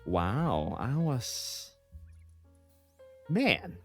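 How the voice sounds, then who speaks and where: A man's voice: mid-range voice, sing-song, very slow, a bit bright, slightly rough, dry recording, faint music behind. A man in his thirties streaming from home, chatting casually and reading chat messages aloud with frequent laughs.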